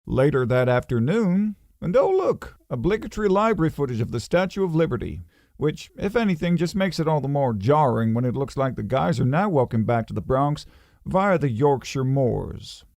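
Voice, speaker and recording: A man's voice: medium pitch, big pitch swings, normal speed, gravelly, a little mellow, no echo, clean studio sound. A man reading comic scripted narration as a storyteller, with wry, sardonic asides.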